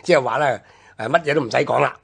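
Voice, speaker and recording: Husky man with Hong Kong Cantonese accent. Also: mid-range voice, fast talker. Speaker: radio programme commentator. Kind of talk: conversational history discussion on radio.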